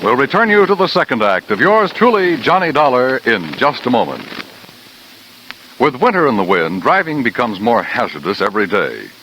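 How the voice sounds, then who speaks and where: A man's voice: medium pitch, sing-song, normal speed. A man with an American accent announcing formally and emphatically in 1950s radio style. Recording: old radio recording, faint noise.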